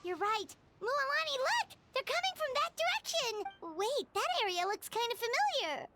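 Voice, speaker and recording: A tiny, curious game fairy, extremely high and childlike. The delivery is chirpy and swoops with excitement, over clear background noise. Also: female voice, normal speed. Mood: alarmed. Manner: squeaky, chirpy, exclaiming.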